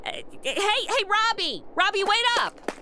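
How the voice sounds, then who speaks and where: A sassy modern young woman played by an actress in a comic radio sci-fi play. Her high voice is bright and swoops around, full of sarcasm and slang, with faint noise behind it. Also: sing-song, unhurried, heavily acted. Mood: calling out. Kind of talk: comedic radio drama acting.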